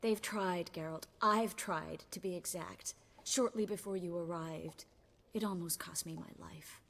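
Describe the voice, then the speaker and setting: An actress playing a guarded, world-weary sorceress in a video game, speaking in a soft, breathy, wry and measured way. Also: female voice, fairly low pitch, exaggerated voice. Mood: grim, earnest. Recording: long reverberant hall.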